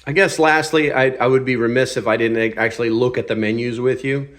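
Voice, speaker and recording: Male product reviewer, mid-pitched voice, informal and opinionated, relaxed hands-on delivery for an online channel. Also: fairly quick. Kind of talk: casual hands-on gear review.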